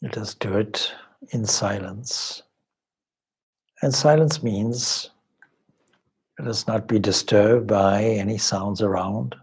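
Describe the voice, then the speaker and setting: A man leading a guided meditation as a spiritual teacher, speaking in measured, deliberate short phrases with long pauses and formal, contemplative wording. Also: fairly low pitch, steady tone, darkish tone, husky. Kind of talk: guided meditation, slow spoken instruction.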